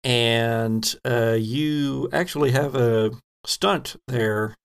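A man serves as game master, improvising scene descriptions for a tabletop game. His pace is slow and unhurried, and his voice has a gravelly fry to it. He builds each description haltingly, piece by piece. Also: medium pitch.

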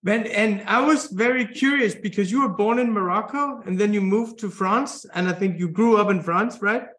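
A man's voice: high voice, moderate pace, a bit bright. A man interviewing a guest, building long, rambling questions. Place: Denmark. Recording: roomy echo.

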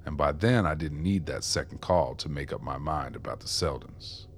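An actor playing a weary, guilt-ridden older ex-informant in a radio drama, gruff and plainspoken. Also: male voice, extremely low pitch, normal speed, a little hiss or hum. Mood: earnest.

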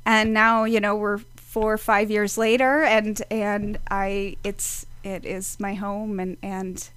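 A woman's voice: medium pitch, level tone, slow, fairly bright tone, clean tone, no echo. A woman, a psychic medium, chatting casually as a podcast guest, laughing often and restarting her sentences. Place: studio.

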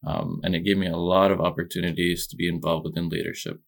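A young man from Egypt answers interview questions in a calm, modest way. His voice is very low and flat, with a gravelly texture. He talks in a steady, measured pace. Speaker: podcast guest.

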